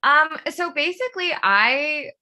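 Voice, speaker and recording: A woman's voice: sits high, lively intonation, a little slow, pushed and bright. A woman in her thirties from outside Philadelphia, a TV writer telling a meandering story about her start as a guest on an interview show, laughing at herself as she goes. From suburb of Philadelphia, USA. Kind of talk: casual interview chat.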